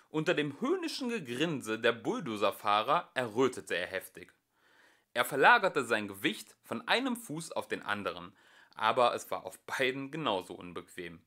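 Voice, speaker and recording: A young man reading a novel aloud as an amateur audiobook narrator, with lively shifting emphasis. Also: medium pitch. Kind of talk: storybook narration.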